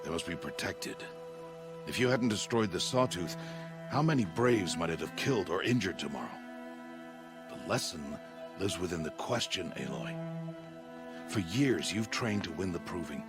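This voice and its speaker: Solemn middle-aged hunter father figure, extremely low voice, grave, measured, formal, game cutscene, music behind. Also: animated. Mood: solemn, instructive. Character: stern, solemn older outcast hunter and foster father. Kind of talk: video game cutscene voice acting.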